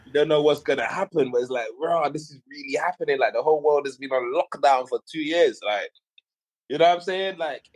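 A man's voice: on the high side, fairly quick, darkish tone, low-quality recording. A man speaking casually as an interview guest, slangy and exclamatory, voicing his own thoughts aloud. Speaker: interview guest, music artist. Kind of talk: casual chat.

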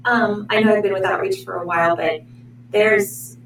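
A woman's voice: medium pitch, normal speed. A woman from Pennsylvania who works as an outpatient therapist, speaking earnestly and a bit hesitantly in an interview recorded in a library meeting room. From Pennsylvania, USA. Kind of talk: casual interview answers. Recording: roomy, distant mic, steady hum.

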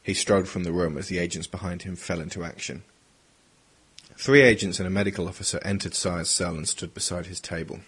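A man with a husky voice reading dramatic scripted narration for an audio drama. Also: deep voice, steady tone, a little fast, a bit bright.

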